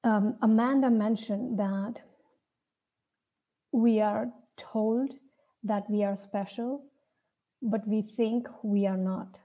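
A young woman from India with an Indian accent tells her personal story off the cuff, earnestly and with hesitant pauses. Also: medium pitch, steady tone, mellow, poor audio. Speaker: speaker sharing a personal story. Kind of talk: personal storytelling, unscripted talk.

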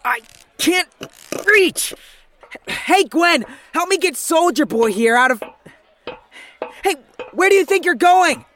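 Sarcastic young man, exasperated, lively swooping pitch, fantasy audio drama. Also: takes it slowly, long pauses, heavily acted. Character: sarcastic, put-upon short young man, reluctant fantasy adventurer.

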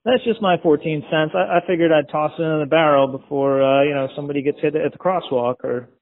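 Man, higher-than-average pitch, casual halting ramble, phone-quality voicemail. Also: fairly even intonation. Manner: rambling, hesitant. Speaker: voicemail caller. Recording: narrow phone voicemail audio.

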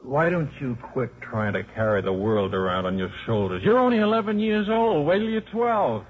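A man's voice: fairly high pitch, sing-song, moderate pace. An actor playing a wisecracking, down-on-his-luck football coach and father with a dry, sardonic manner in a 1950s radio drama. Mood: weary, gruff. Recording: muffled old radio broadcast recording.

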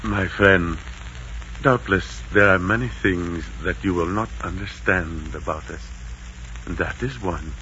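Aztec emperor: low, dignified, resolute, solemn old-time radio acting, faint noise on the recording. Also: male voice, bright, forceful tone. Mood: solemn, firm. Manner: stately, solemn, formal, deliberate. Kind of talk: 1940s radio drama acting. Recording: muffled, noisy 1940s radio recording.

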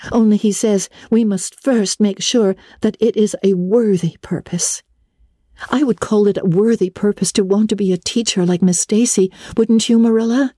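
A woman's voice: mid-range voice, steady tone, normal speed, warm tone, dry recording, clean studio sound, voice pushed up, close to an everyday voice. A woman voicing an eager, talkative, earnest orphan schoolgirl in a gushing, dramatic storybook reading. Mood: blissful, earnest. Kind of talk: dramatic audiobook reading of a classic novel.

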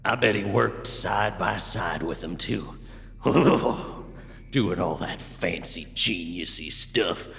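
Folksy male skeptic, low gravelly voice, forceful and animated, slow rambling delivery, audio drama. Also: exaggerated voice. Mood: musing, grumbling. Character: folksy, skeptical working man, rambling and conspiratorial.

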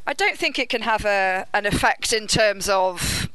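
Female interview guest, expert. Clear, forward tone, earnest explanatory delivery. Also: mid-range voice, lively intonation, unhurried, pushed and bright, dry recording, rough recording.

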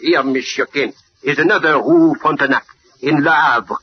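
An actor playing a courteous, helpful police lieutenant with a French accent and a formal manner in an old radio drama. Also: male voice, fairly high pitch, moderate pace, lightly acted. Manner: formal, deferential. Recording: muffled old radio recording.

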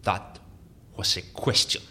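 An actor playing an older, hot-tempered president and dictator in an audio drama, pompous and imperious, with a Latin American Spanish accent. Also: male voice, slow, bright, forceful tone, slight background noise.

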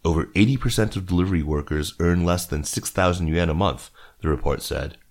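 A man with a low-pitched voice narrates business news from a script. He works as a reader for a news podcast, delivering written stories aloud. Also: fairly quick.

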